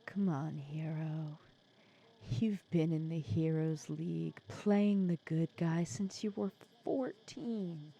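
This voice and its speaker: A woman playing a soft, low, sly villainess. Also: slow, gravelly, highs cut off, exaggerated voice. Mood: teasing, coaxing. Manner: casual, teasing, persuasive, sardonic. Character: sly female supervillain, secretly caring, courting her hero nemesis. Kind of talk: intimate ASMR audio roleplay.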